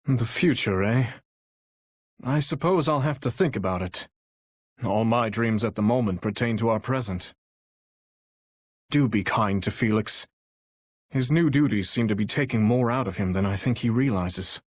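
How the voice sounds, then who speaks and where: A man voicing an earnest, courteous young warrior king with a heavy burden for a video game, speaking in formal, measured, courtly diction with a husky voice. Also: mid-range voice, no echo, clean studio sound, close to an everyday voice. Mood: gentle, thoughtful. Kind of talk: video game character voice lines.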